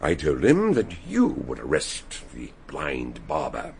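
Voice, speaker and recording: A gravelly genial detective. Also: male voice, very deep voice, wildly swooping pitch, slow pace, slight background noise, full character voice. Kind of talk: radio drama acting.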